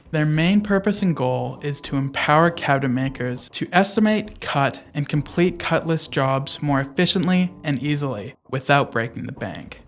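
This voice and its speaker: A man with a somewhat high voice works as a sponsor announcer. He delivers a scripted advertisement read.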